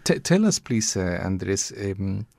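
Male interviewer, very low and gravelly, measured and encouraging, dry booth sound. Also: sing-song, darkish tone, no echo. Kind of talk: conversational interview.

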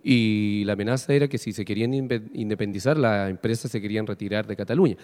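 A man's voice: fairly low pitch, fast, darkish tone. A Chilean man hosting a radio interview, asking long, probing questions full of fillers. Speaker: radio host. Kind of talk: interviewing a guest.

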